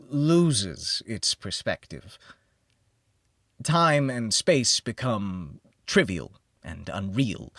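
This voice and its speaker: A man narrating a gothic horror audiobook in a brooding, theatrical manner, with deliberate phrasing that drops to a hush. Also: mid-range voice, dry recording, clean studio sound. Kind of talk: dramatic gothic horror storytelling, scripted narration.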